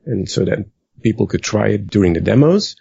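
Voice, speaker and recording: A man's voice: on the low side, expressive, fairly quick, creaky, dark tone, slightly rough, dry recording, dull audio. A man with a West Virginian accent, chatting casually on a podcast and explaining how he taught a workshop step by step. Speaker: rope bondage instructor.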